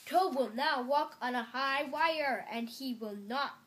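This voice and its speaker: A woman's voice: high-pitched, slow pace, fairly bright tone. A young girl voicing a strange, grand stage announcer in a storybook, declaiming. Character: strange booming stage announcer voice from far away.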